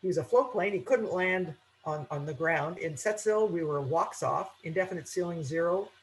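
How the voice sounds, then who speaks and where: Female instructor, informal webinar lecture, halting and explanatory delivery, slight background noise. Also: fairly low pitch, low-quality recording. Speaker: aviation instructor.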